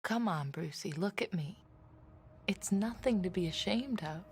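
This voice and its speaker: Gentle, protective game mother, highly animated intonation, mid-pitched voice. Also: unhurried, a little hiss or hum. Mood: soothing. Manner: soft, soothing. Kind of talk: video game cinematic acting.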